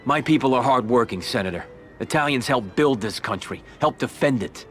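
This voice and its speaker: An actor playing a smooth, ambitious Italian-American mafia boss in a video game, speaking in a measured, persuasive American voice. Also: male voice, mid-range voice, expressive, fairly bright tone, soft background music, lightly acted. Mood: firm, persuasive. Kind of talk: video game cutscene acting.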